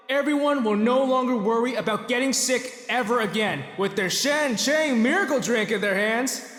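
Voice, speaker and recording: Echoey guy acting as a smug rich showman hawking a miracle cure, high voice, super pompous. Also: fairly bright tone. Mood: grandiose, triumphant. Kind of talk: audio drama acting. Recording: echoey, reverberant hall sound.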